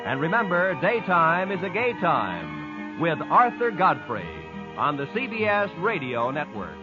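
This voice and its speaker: A man's voice: a little high, wildly swooping pitch, a little slow. An American man doing scripted 1950s network radio announcing. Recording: muffled old radio broadcast. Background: music.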